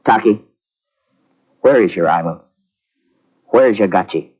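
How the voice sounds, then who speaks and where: An actor playing a blunt wartime soldier, on a muffled old radio drama recording. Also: male voice, fairly low pitch, a little slow, husky. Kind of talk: 1940s radio acting.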